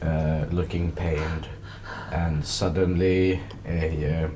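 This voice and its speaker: A man playing a tabletop game, narrating his moves casually in a halting, improvised way. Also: extremely low pitch, little pitch movement, warm tone, a little hiss or hum.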